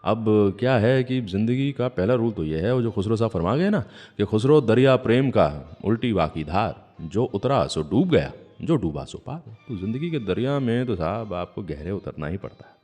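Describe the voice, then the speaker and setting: A man's voice: on the low side, expressive, moderate pace, few pauses, a little mellow. A man presenting a radio show in a conversational, musing storyteller's way, addressing listeners politely. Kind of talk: conversational radio presenting with anecdotes and philosophical asides. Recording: long hall reverb, music underneath.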